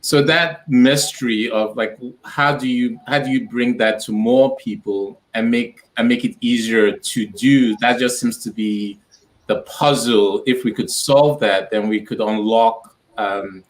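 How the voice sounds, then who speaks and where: A man's voice: mid-range voice, fairly even intonation, a little slow, poor audio. A man from Nigeria, a guest in a casual interview on a video call, talking thoughtfully and repeating words as he builds a point. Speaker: entrepreneur guest.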